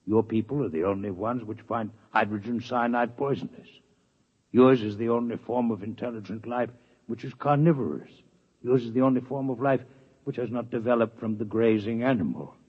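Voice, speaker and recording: A man playing a calm, formal male alien doctor from another planet in a 1950s radio drama, speaking with precise, unhurried diction. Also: mid-range voice, husky, close to an everyday voice. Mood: measured. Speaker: visiting alien scientist. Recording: dull lo-fi 1950s radio broadcast.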